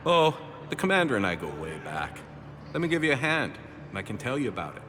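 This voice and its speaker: A man's voice: medium pitch, big pitch swings, quick pace, close to an everyday voice. A man playing an eager, boastful hanger-on in a video game, earnest and dramatic in delivery. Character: eager, boastful male hanger-on, hero-worshipping. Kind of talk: game dialogue acting. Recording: reverberant, music behind.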